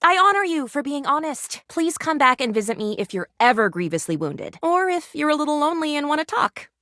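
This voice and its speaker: A woman's voice: high-pitched, sing-song, fairly quick, pushed and bright, dry recording, studio quality, exaggerated voice. A woman playing a perky, eccentric, gleefully morbid lady doctor in a video game, with gushing, old-fashioned, melodramatic phrasing. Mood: coaxing, playful. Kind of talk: animated video game character acting.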